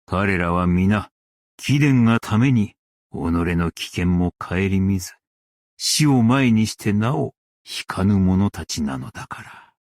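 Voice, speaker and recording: A man playing a principled, stern yet merciful scholar-strategist in a game, speaking in a formal, archaic and measured way. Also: sits low, animated, a little mellow, no room sound, studio quality, exaggerated voice. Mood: quiet, reflective. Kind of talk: Japanese game character voice lines.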